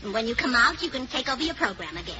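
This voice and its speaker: A woman's voice: fairly high pitch, big pitch swings, rapid-fire. A woman playing a scatterbrained wife in a 1940s radio comedy. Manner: scatterbrained, ditzy. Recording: dull lo-fi old radio recording with clear hiss.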